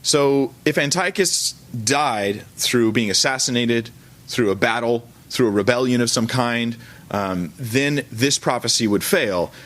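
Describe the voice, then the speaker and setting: A man with a mid-pitched voice teaches as a pastor in a church service. He speaks informally and colloquially, correcting himself along the way as he explains things to his listeners. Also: a little slow, fairly bright tone. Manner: conversational and colloquial, with self-corrections.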